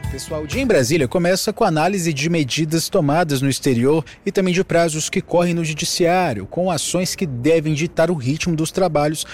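A man's voice: fairly high pitch, fairly quick, hardly any pauses, darkish tone, faint music behind. A man reporting live on political news, explaining developments in a slightly conversational way.

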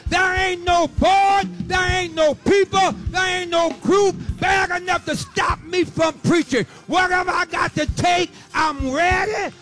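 Old preacher guy in his 70s, really high voice, drawn-out emphatic sermon, music behind him. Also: fairly bright tone. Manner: emphatic, repetitive, rhythmic declamation. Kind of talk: impassioned sermon.

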